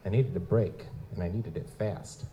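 A man's voice: deep voice, normal speed, mellow, close to an everyday voice. An actor playing a wry, down-on-his-luck lutin private investigator in a live audio drama, with wisecracking, folksy noir storytelling. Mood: wry, world-weary. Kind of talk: live audio drama, first-person noir narration and dialogue. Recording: music behind the voice.

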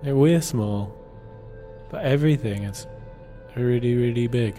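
A man playing an agreeable, slightly awkward date in an improvised audio drama, speaking haltingly and tentatively. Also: medium pitch, mellow, close to an everyday voice. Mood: thoughtful. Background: music.

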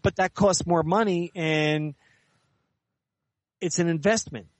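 Higher-pitched man explaining things in an interview. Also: fairly even intonation, takes it slowly, dry recording. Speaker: interview guest, author and editor. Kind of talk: conversational interview answers.

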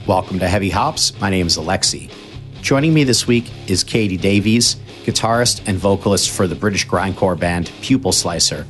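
Male podcast host, lower voice, measured, slight guitar music behind. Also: lively intonation, a bit bright. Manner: measured, many "sort of" fillers when asking. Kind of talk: scripted intro then interview questions.

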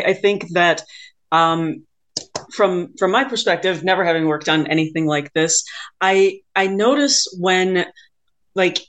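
A woman hosting a casual interview, with tentative, halting phrasing as she works toward her point. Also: fairly low pitch. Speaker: podcast host, interviewer.

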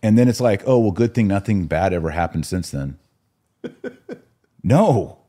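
A man with a notably deep voice hosts a casual religious discussion podcast. He explains things conversationally, as if chatting across a table. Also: expressive, normal speed, warm tone. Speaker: podcast host.

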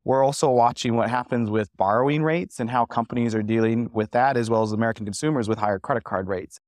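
Measured male economist, dry sound. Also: mid-range voice, darkish tone, no echo. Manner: measured, analytical. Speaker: economist panelist. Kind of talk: panel discussion.

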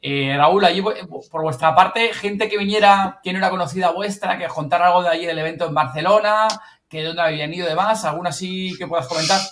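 A man with an accent from Spain telling anecdotes in casual, slangy, excitable talk, acting out bits of dialogue. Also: sits high, fast, a little hiss or hum. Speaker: host and project member.

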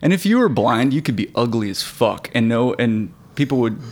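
A man's voice: mid-range voice, sing-song, normal speed, soft background music. A young adult man co-hosting a casual movie podcast, easygoing and agreeable, with lots of filler words. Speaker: podcast co-host. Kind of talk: casual movie review chat.

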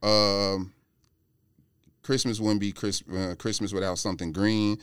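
A man's voice: on the low side, level tone, unhurried, fairly bright tone, clean tone, dry recording, clean studio sound. A man chatting as a podcast guest in an informal, blunt way. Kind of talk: casual sneaker podcast chat.

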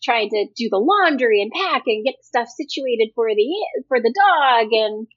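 A woman's voice: fairly high pitch, animated, measured pace, muffled recording. A woman co-hosting a podcast in casual, conversational chat. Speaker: podcast co-host.

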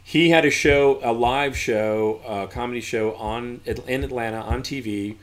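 A man's voice: medium pitch, a little slow, fairly bright tone. A man with a Southern accent, a podcast guest chatting casually and telling rambling anecdotes. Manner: storytelling, rambling with restarts. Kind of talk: casual chat.

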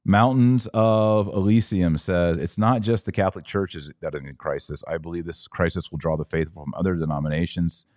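Low-voiced guy doing religious podcast q&a, emphatic, repeats himself, really dry sound. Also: fairly even intonation, fairly quick, hardly any pauses, a little mellow, no echo. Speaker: podcast host answering live comments. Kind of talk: religious commentary, casual live Q&A.

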